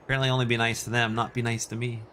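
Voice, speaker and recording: A man's voice: mid-range voice, little pitch movement, very fast, bright, forceful tone, slight background noise. A Canadian man chatting casually and in a rambling way as he hosts a video game livestream and responds to his chat. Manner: rambling, reacting to chat messages.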